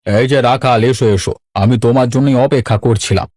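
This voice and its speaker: A man voicing a kindly, authoritative village headman in a cartoon, speaking in a measured, patronly way. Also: mid-range voice, darkish tone, no echo, studio quality, close to an everyday voice. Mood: businesslike. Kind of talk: animated story voice acting.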